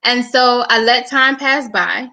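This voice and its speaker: A young woman from New York, a guest on a church livestream, telling her own story. She speaks earnestly and conversationally, with plenty of fillers. Her voice is bright and a little high. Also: takes it slowly, poor audio. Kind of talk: personal testimony talk.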